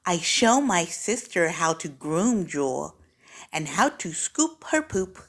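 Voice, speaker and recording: A woman reading a children's story aloud in an expressive, clearly phrased way. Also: fairly low pitch, slow pace. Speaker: storybook reader.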